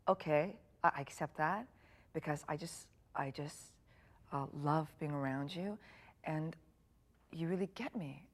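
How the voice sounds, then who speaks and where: A woman speaking reflectively and softly in an interview. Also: low voice, a little slow. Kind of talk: interview answers.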